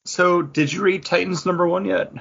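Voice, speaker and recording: A man's voice: high-pitched, fairly even intonation, normal speed, creaky, a bit bright, breathy edge, highs cut off. A man co-hosting a casual podcast chat, often acting out his own thoughts as he talks. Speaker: podcast co-host. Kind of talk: casual chat about comics and TV.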